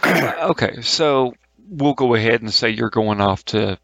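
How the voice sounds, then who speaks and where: A man acting as game master, narrating a tabletop game in a halting, colloquial, informal way. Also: mid-range voice, vocal fry, fairly bright tone, no echo.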